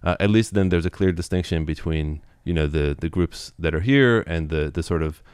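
A man guest in an interview, explaining at length in a relaxed way with many "you know"s and restarts. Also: low voice, smooth, no room sound, studio quality. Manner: quick, thoughtful, full of "you know" and restarts.

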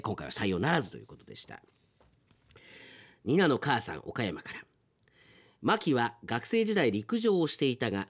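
A middle-aged man presenting on radio, chatting casually and reading listeners' submissions aloud, often chuckling to himself. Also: medium pitch.

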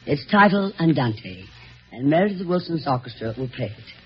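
Ceremonious male 1950s radio presenter, expressive pitch. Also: moderate pace, breathy edge. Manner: reverent, ceremonious. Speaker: radio show presenter introducing a guest. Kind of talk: formal 1950s radio introduction. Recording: muffled old 1950s radio broadcast.

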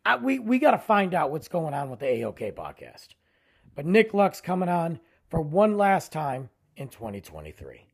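Husky-voiced man hosting a podcast. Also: moderate pace. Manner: rambling, animated, voice drops low at phrase ends. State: losing his voice, tired. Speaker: podcast host. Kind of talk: casual solo podcast intro.

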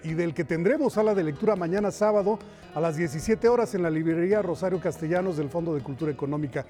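A man's voice: high voice, warm tone. A man with a Mexican accent presenting a culture segment in a broadcast reporting style.

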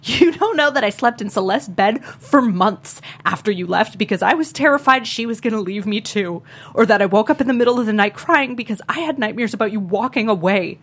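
A woman's voice: a little high, animated, brisk, fairly bright tone, husky, exaggerated voice. A woman playing a sarcastic, resentful, wounded young woman in a script table read, biting and emotional. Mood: angry, hurt.

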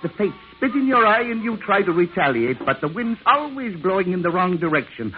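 A man's voice: high voice, very animated, a little fast. A man acting in an old radio drama as a drunken ex-bootlegger who rambles in flowery, theatrical, grandiloquent speech. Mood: grandiose lamenting. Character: florid drunken male ex-bootlegger, theatrical and philosophizing. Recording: tinny, band-limited vintage radio recording.

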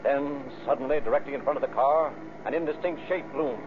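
A man narrating a vintage radio crime drama in an ominous, measured, florid manner. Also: mid-range voice, noisy background. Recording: tinny narrow-band old radio recording. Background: loud dramatic music.

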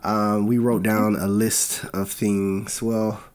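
A man co-hosting a podcast with his wife, a little raspy from a cold, talking in an earnest, loose way with many fillers. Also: fairly low pitch, little pitch movement, slow, darkish tone. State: has a cold, slightly raspy. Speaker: podcast co-host. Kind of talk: casual podcast chat.

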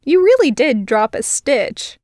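Woman putting on a young human girl, high pitched, smug, dryly teasing, close dry sound, storybook. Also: big pitch swings, measured pace, dry recording.

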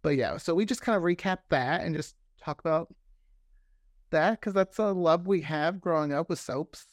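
A man, a podcast creator, chatting casually as a guest and telling stories in an animated way, acting out bits of dialogue. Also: a little high, normal speed, dry recording, clean studio sound. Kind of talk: casual interview chat.

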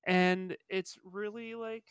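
A man's voice: high voice, steady tone, slow, clear, resonant tone, no echo, studio quality. A man co-hosting a podcast in casual chat, congested and stuffy-nosed, rambling with lots of "like". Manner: rambling, self-deprecating, frequent "like". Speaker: podcast co-host.

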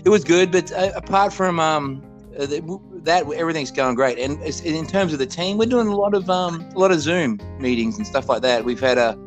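A man's voice: a little high, brisk, few pauses, music in the background. A man in his fifties from Australia with an Australian accent, a college basketball head coach telling his story in a loose, self-correcting way during a casual interview.

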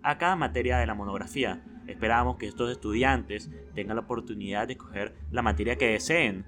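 A man hosting a student school podcast, reading out school news and announcements at an even, mid-range pitch. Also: brisk. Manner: reads news announcements, then a loud energetic intro.

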